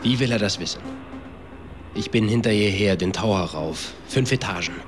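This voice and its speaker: A man's voice: on the low side, normal speed, close to an everyday voice. An actor playing a cocky young man who recounts events in a slangy, clipped, matter-of-fact way in a radio drama. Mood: uneasy recall. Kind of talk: German radio drama acting, confessional narration. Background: music.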